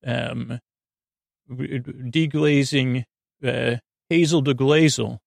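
A man hosting a bedtime podcast in a halting, meandering monologue that drifts off into tangents, with a creaky, gravelly voice. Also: medium pitch, steady tone, no echo, clean studio sound. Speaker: podcast host. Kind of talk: rambling, meandering bedtime monologue meant to lull listeners to sleep.

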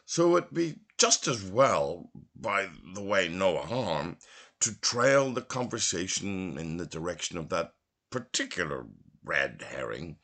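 A man from Belfast reads literary prose aloud in a measured, theatrical narrating style. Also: sits low, fairly bright tone. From Belfast, Northern Ireland. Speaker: literary reader. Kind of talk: expressive literary audiobook narration.

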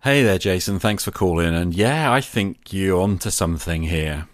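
A man hosting a podcast, speaking reflectively in deliberate short phrases and posing rhetorical questions. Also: deep voice, expressive. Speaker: podcast host. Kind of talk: reflective solo podcast monologue.

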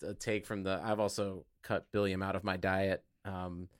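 A man's voice: low voice, little pitch movement, normal speed, clean tone, no room sound, studio quality. A man co-hosting a podcast in casual sports banter, sarcastic and given to swearing. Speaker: podcast co-host.

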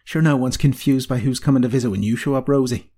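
Man voicing a wry old village healer woman, husky. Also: medium pitch, animated, a little fast, darkish tone, voice pushed up. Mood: dry, stern affection. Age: older. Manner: teasing, dry, commanding. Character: old female village healer, wry, stern but tender mistress.

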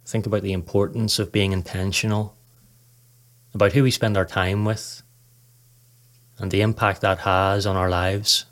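A man narrating a scripted guided meditation in a calm, measured, instructional way. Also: low-pitched. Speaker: podcast host, meditation guide.

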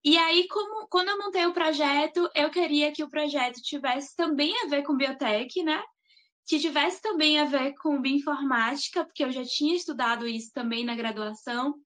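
A woman. Her voice sits high, and she talks in a loose, chatty way, often ending her sentences with tag questions. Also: normal speed, a bit bright. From Salvador, Bahia, Brazil. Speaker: guest, master's student. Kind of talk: interview answers.

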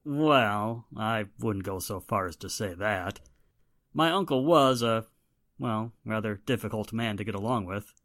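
An actor playing a haughty, formal, suspicious gentleman in a 1940s style radio drama, with pointed theatrical phrasing. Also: on the low side, measured pace. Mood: musing. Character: haughty, well-spoken gentleman, suspicious nephew of wealthy victim.

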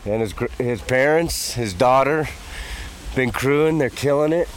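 A man, a race pacer who has just run a hundred miles, giving a casual interview outdoors; he speaks in a laid-back, halting way with many fillers. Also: mid-range voice, slight background noise, poor audio. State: weary after running a hundred miles. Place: outdoors at an ultramarathon aid station. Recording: outdoor recording with a crowd behind.

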